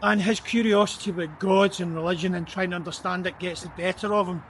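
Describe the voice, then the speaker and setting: A man with a high voice talks in a reflective, unhurried way, repeating words as he works through his thoughts. He is walking along a city street at night, with faint traffic hum behind him. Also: fairly even intonation. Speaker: vlogger.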